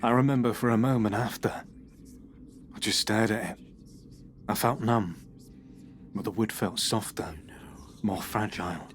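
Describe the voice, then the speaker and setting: An actor playing a troubled, unwell man who confesses to the police in a halting, hushed voice with nervous laughs. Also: on the low side, fairly even intonation, slight background noise, close to an everyday voice. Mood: haunted. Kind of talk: audio horror drama.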